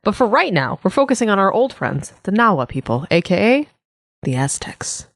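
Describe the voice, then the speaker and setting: A woman's voice: medium pitch, very animated, moderate pace, dry recording, studio quality. A woman co-hosting a comedy podcast, explaining and bantering in a wry, irreverent way. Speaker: podcast co-host.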